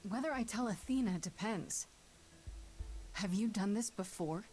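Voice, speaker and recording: An actress playing a wary, sharp-tongued young heroine in a video game, dry, questioning and confrontational. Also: female voice, mid-range voice, a little slow, faint music behind, lightly acted. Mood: wary, firm.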